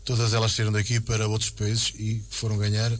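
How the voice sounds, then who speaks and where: A man's voice: lowish voice, monotone, a little fast, a little hiss or hum. A man with a European Portuguese accent answering as a club president in a radio interview, explaining with emphatic repetition.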